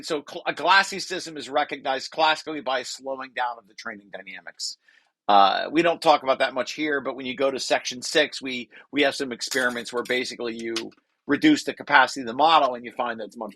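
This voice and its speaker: A man presenting a technical paper aloud, reading and adding explanations in a halting way with self-corrections. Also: medium pitch, bright, forceful tone, no echo. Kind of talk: technical table read with explanatory asides.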